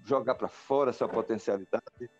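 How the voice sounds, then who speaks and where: A man's voice: medium pitch, normal speed, dry recording, soft background music. An older man from Recife, Brazil, a singer-songwriter guest speaking reservedly in an interview. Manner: reserved, warm laughter. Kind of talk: casual interview chat. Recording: dull lo-fi sound.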